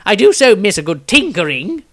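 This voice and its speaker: A man's voice: high-pitched, very animated, normal speed, fairly bright tone, voice pushed up, heavily acted. A man voicing a fussy, homesick, reluctant tinkerer, reading a diary entry wistfully. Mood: weary, wistful.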